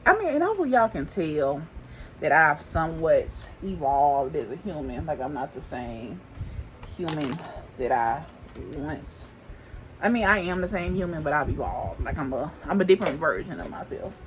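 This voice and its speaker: A woman's voice: sits low, big pitch swings, normal speed, background noise. A woman in her twenties chatting casually to camera while doing her makeup, rambling and restarting her sentences, with speech. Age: 20s. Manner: rambling, thinks aloud with many restarts and "like". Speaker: beauty vlogger.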